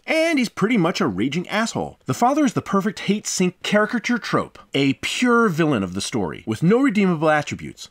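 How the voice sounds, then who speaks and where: Male host for scripted game review: very animated intonation, sarcastic, crude, theatrical emphasis. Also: medium pitch. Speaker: reviewer and host of a visual novel channel.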